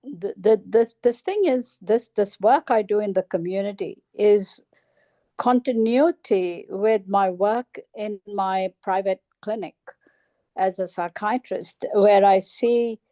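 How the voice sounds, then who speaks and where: A woman's voice: mid-range voice, takes it slowly, mellow, no room sound. A woman psychiatrist answering interview questions thoughtfully, with many hesitations and fillers.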